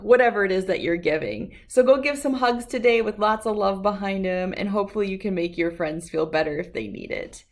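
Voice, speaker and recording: A woman's voice: medium pitch, darkish tone. A woman hosting a children's picture book read-aloud, speaking directly to young listeners as friends.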